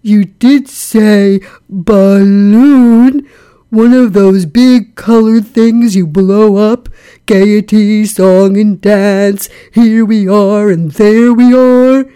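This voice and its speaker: A woman voicing a gloomy, weary old donkey from a children's storybook, ponderous and mournful, with a sardonic, deadpan manner. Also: medium pitch, very slow, smooth. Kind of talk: children's storybook character reading.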